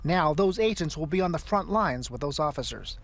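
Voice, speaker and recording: A man reading a scripted crime report for a local TV newscast, measured and clipped. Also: on the high side, expressive, gravelly. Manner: measured, clipped news delivery.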